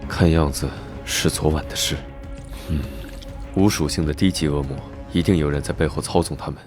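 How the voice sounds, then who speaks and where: Very deep-voiced, cold young duke. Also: male voice, steady tone, normal speed. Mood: aloof, mocking. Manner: cool, detached. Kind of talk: dubbed animated romance drama with inner monologue. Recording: loud music under the voice.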